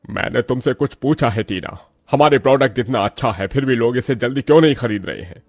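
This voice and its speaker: A stern but fair company chairman from a cartoon morality tale, acted by a man. His pitch is average and his manner formal and commanding. The voice is recorded dry and close. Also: a little fast, dry recording, soft background music, natural voice. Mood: stern, demanding. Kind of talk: scripted cartoon moral story acting.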